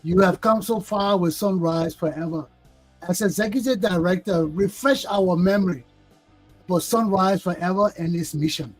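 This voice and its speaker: A man hosting an interview show, speaking in a formal, deliberate and ceremonious way. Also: high-pitched, soft background music. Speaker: talk show host.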